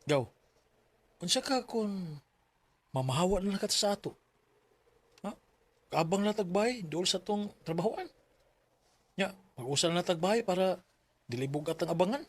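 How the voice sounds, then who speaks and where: A man's voice: high voice, lively intonation, normal speed, long pauses. A man with a Cebuano Filipino accent playing a disgruntled, protective young man in a radio drama. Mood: coaxing.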